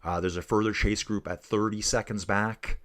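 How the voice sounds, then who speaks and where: A man's voice: on the low side, steady tone, normal speed, fairly bright tone. Laid-back man doing live race commentary. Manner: laid-back, says "dude" often, reads chat aloud. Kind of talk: casual live-stream commentary.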